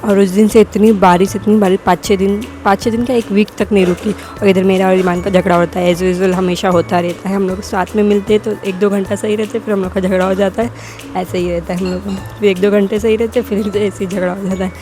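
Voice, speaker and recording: A woman's voice: mid-range voice, flat delivery, fairly quick, mellow, soft background music. A young woman with a sore throat narrating her family vlog as a casual voice-over, rambling and correcting herself as she goes. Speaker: vlogger.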